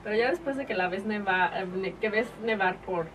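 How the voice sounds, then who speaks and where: A woman's voice: mid-range voice, a little fast, dull audio, crowd noise. A woman from Mexico, a guest on a talk show, chatting loosely and searching for her words. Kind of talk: casual radio chat. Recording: distant mic with noise.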